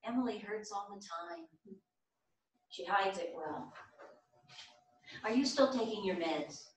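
A woman's voice: mid-range voice, very animated, takes it slowly. A woman playing a probing, encouraging group guide in a staged play reading, heard from across a theatre. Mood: probing, supportive. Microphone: far camera. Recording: echoey theatre, far from the microphone.

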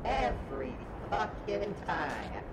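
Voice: mid-range voice, sing-song, slow pace, a little hiss or hum, low-quality recording. An actor voicing a small, irritable, sardonic sheet ghost in a radio play. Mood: irritated. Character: grumpy foul-mouthed small sheet ghost being, weary trickster.

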